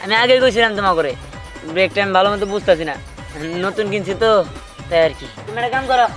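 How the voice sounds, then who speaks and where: A man voicing a flustered, apologetic village man in a cartoon, with a rural Bangladeshi accent and a pitched-up voice. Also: quick pace. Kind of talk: cartoon comedy dialogue. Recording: music behind the voice.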